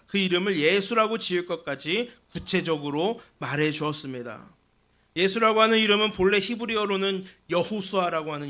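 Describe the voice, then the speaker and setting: A man's voice: sits high, pushed and bright. A man preaching a Sunday sermon as senior pastor, speaking earnestly to his congregation and posing rhetorical questions. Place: church sanctuary.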